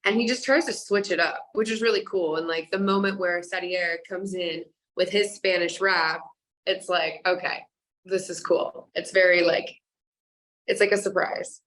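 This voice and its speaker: Young woman, bubbly guest, low-pitched, laughs a lot, casual chat. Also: fairly even intonation, moderate pace, a bit bright, low-quality recording. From Kansas, USA. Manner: bubbly, laughs often, many likes and you-knows. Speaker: singer guest.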